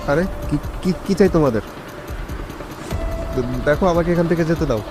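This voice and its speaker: A man's voice: a little high, fairly quick, lightly acted. A man voicing a despairing, irritable man with an agitated way of talking in a cartoon thriller. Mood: frightened, alarmed. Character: despairing, irritable man drawn into a deadly game. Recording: music behind the voice.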